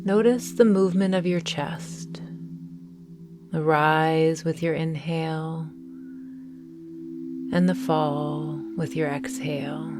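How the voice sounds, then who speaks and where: A woman guiding a mindfulness meditation in a calm, soothing, measured way, leaving long pauses between phrases. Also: low voice, background noise. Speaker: meditation guide. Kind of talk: guided mindfulness meditation narration.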